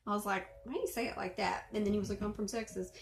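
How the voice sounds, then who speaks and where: Young woman, casual vlog chat, mid pitch, roomy echo, rambling with fillers. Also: rapid-fire, slight background noise. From Arkansas. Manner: chatty, many fillers, clears throat. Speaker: video host responding to a reading. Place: bedroom at home.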